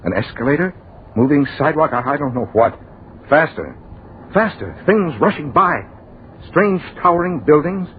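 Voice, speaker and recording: A man's voice: on the high side, very animated. Bewildered man, swooping, old radio. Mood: hushed, wondering. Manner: breathless, dramatic, halting fragments. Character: bewildered, horrified man narrating his dreamlike visit to the future. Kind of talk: 1940s radio drama, first-person narration. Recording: muffled, noisy old radio recording.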